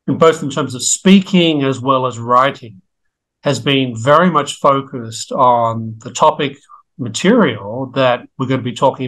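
A middle-aged Australian man talks in a measured, articulate, academic way. His voice sits at an ordinary pitch. It is picked up by a webcam on a video call from a book-lined study. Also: fairly bright tone, low-quality recording. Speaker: guest economist. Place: home study with bookshelves.

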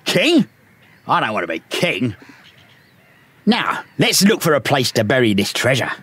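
A man's voice: mid-range voice, wildly swooping pitch, a little slow, a bit bright, breathy edge, exaggerated voice. A man playing an imperious pirate captain in an audio drama, theatrical and bossy.

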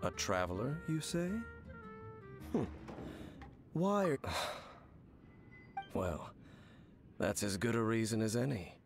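A man's voice: fairly low pitch, sing-song, slow, long pauses, soft background music, exaggerated voice. A man voicing a mysterious masked wanderer in a video game, cool, reserved and formal. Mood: cool, guarded.

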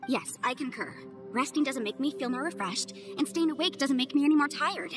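A woman's voice: sits high, expressive, fairly quick, over music. A woman voicing a poised young female adviser in a game, formal and precise in her wording. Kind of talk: video game cutscene voice acting.

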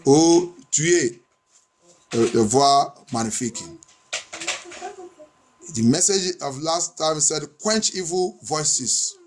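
Male pastor, slightly high-pitched, for fervent prayer and preaching with insistent, chant-like repeated lines. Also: drawn-out words, pauses often, slight background noise, rough recording. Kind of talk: fervent bilingual prayer and sermon.

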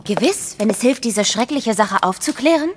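Woman acting proper earnest young lady in a radio play, highish voice, lots of hall echo. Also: expressive, normal speed, slight background noise, lightly acted. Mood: composed, earnest. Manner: formal, earnest. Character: polite, devoted young gentlewoman, composed and earnest. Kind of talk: German audio drama acting.

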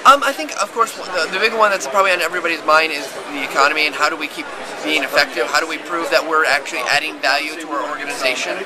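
A man's voice: fairly high pitch, fast, hardly any pauses. A man in his thirties, an exhibitor, answering vox pop questions eagerly and fluently, filmed close on a camera in an exhibition hall. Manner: fluent, eager, lots of "you know". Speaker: exhibitor at a trade show. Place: busy exhibition hall stand. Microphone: camera held close. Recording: loud crowd and music behind.

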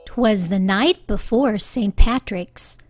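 A woman reading a children's story aloud with deliberate, expressive phrasing. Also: medium pitch, no room sound, soft background music. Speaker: storybook reader. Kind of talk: children's read-aloud narration.

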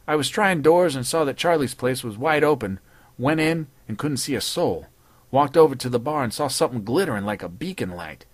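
A man voicing a broad, friendly male patrolman who talks in a folksy, plain-spoken, higher voice. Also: no room sound, lightly acted. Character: broad, friendly male beat cop, simple and eager.

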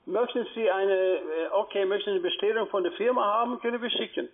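A man with a foreign accent, a non-native speaker, talking haltingly and repetitively on a sales call. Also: high-pitched, over a noisy crowd. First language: not German. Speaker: call-centre trader. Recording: tinny narrowband phone line.

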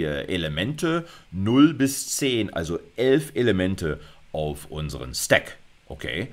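A middle-aged man giving an informal video lecture, explaining conversationally with colloquial asides. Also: low voice. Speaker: university lecturer. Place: indoors at a computer, recorded lecture.

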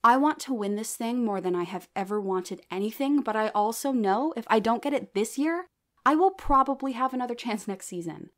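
A woman's voice: on the high side, lively intonation, brisk, lightly acted. A woman playing an upbeat, kindly comedian in an audio drama, supportive and sincere in manner. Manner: enthusiastic, sincere.

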